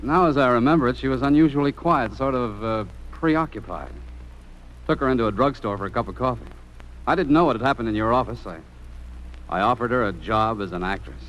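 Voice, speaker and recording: A slightly noisy old radio drama, with an actor playing a blunt American tough guy. He has a mid-pitched voice and a hot-tempered, punchy way of talking. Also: fairly quick, a bit bright, lo-fi sound, natural voice. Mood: contrite. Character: blunt, hot-tempered man, quick with fists, secretly contrite.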